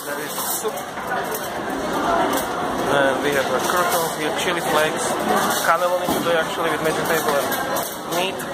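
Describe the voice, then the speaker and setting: A man giving a casual walking tour of a ship buffet, chatty and relaxed, filmed on a handheld camera mic. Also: fairly high pitch, moderate pace, pauses often, very noisy. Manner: non-native phrasing, relaxed and chatty, frequent short laughs. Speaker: travel vlogger. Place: busy ship buffet restaurant, indoors. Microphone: handheld camera mic while walking. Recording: loud crowd chatter and clatter around.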